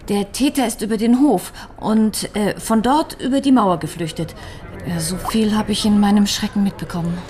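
An actress playing a shaken but composed widow in a radio crime drama, recounting things in a halting, deliberate way. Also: female voice, medium pitch, dark tone, natural voice. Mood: composed, wry.

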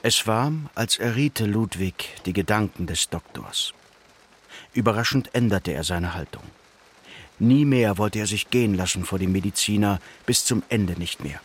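Low-voiced man, solemn literary narrator. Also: a little hiss or hum. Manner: measured, solemn, precise. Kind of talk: scripted literary radio drama narration.

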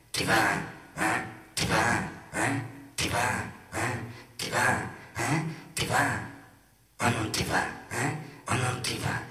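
A man's voice: deep voice, distant mic, full character voice. An actor playing a timid, weak-willed male lieutenant in a musical stage drama, stammering and repeating his words. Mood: coaxing, chummy. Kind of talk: experimental musical stage monologue acting. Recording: echoey, loud music over voice. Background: loud music.

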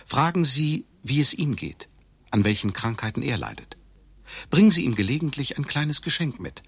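A man's voice: lowish voice, vocal fry, a bit bright, slightly rough, no echo, heavily acted. A man playing an earnest, absurd advice narrator in a satirical radio play, instructing with solemn emphasis.

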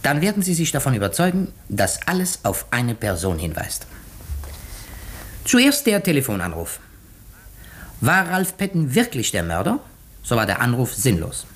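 An actor playing a shrewd, methodical detective in a 1950s radio play, explaining deliberately with dramatic pauses. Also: male voice, medium pitch, big pitch swings, a bit bright, breathy edge. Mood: measured, deducing. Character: shrewd, methodical male detective unmasking a murderer.

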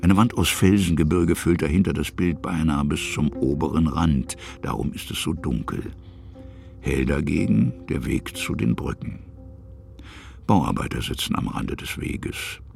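An actor playing the sober narrator of a radio drama, speaking in deliberate, spare literary phrases. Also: male voice, extremely low pitch, expressive, vocal fry, darkish tone, soft background music, heavily acted. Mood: sober, hushed. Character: sober narrator describing scenes, addressing the listener.

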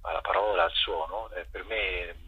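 Deep, husky man on a dull radio line. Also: fairly even intonation. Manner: halting, searching for words, self-correcting. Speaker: theatre director and author, interview guest. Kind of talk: reflective radio interview.

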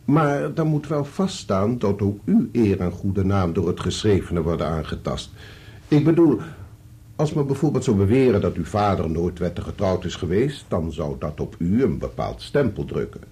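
Formal low-pitched lawyer, lively intonation. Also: male voice. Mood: measured, authoritative. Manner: formal, deliberate, explanatory. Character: measured male senior lawyer giving sober legal advice. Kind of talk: radio play acting.

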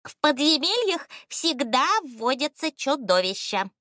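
A woman's voice: high-pitched, big pitch swings, unhurried, fairly bright tone, no echo, studio quality, voice pushed up, exaggerated voice. A woman voicing a nervous, stubborn male ostrich creature in a storybook, suspicious and self-important, with an urgent, blunt way of talking. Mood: fearful, convinced.

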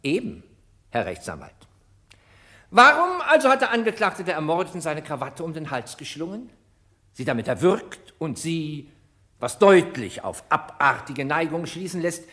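A haughty male prosecutor in a radio courtroom drama. His high voice swings widely in pitch as he lays on biting irony and theatrical, rhetorical pauses. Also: very animated, bright, forceful tone. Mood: mocking. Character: sarcastic, haughty male public prosecutor hounding the accused. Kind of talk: German radio crime play acting, courtroom oratory.

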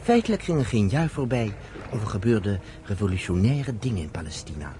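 A man playing a reflective storyteller, narrating a radio drama in a measured way. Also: on the low side, big pitch swings, background noise. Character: reflective male storyteller recounting pioneer history to a listener.

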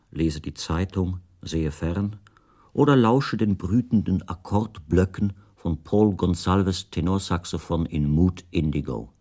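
A man playing a brooding, self-assured writer who tells his story in measured, literary radio drama narration, often dropping to a breathy near-whisper. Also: deep voice, mellow, no room sound, studio quality. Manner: measured, deliberate, ornate phrasing. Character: brooding, self-assured bearded male writer, a confined houseguest recounting his life.

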